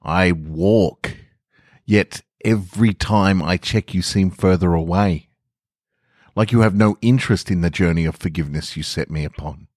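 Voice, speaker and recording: A man's voice: deep voice, normal speed, darkish tone, dry recording, clean studio sound. A man voicing a remorseful man seeking forgiveness, in an earnest, pleading first-person story reading.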